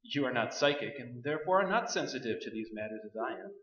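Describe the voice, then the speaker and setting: A man's voice: medium pitch, animated, fairly bright tone, lightly acted. Man acting a solemn ghost-hunting doctor, echoey stage. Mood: grave. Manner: pompous, formal, deliberate. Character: solemn, pompous male doctor of psychic research. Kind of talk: staged radio play acting. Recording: roomy stage recording, distant, dull.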